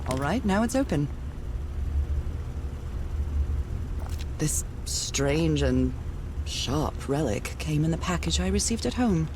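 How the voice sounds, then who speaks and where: An actress playing a wistful, adventurous wife who muses to herself in a video game. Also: female voice, low voice, sing-song, measured pace, noisy background. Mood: self-chiding. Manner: talks musingly to herself. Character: Nora, wistful adventurous wife searching for missing husband. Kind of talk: dramatic video game narration.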